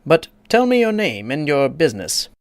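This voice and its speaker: A man's voice: fairly high pitch, big pitch swings, no room sound, clean studio sound, voice pushed up. A man voicing a pompous, easily offended egg creature who lectures and takes offense. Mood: haughty. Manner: haughty, quick to take offense, lecturing.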